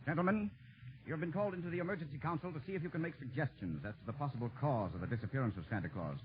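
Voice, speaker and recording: A man's voice: fairly high pitch, rapid-fire, loud music behind the voice, natural voice. A man playing a flustered, desperate, formal chairman of an emergency council in a 1940s radio comedy. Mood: grave, desperate. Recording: tinny 1940s radio recording, faint noise.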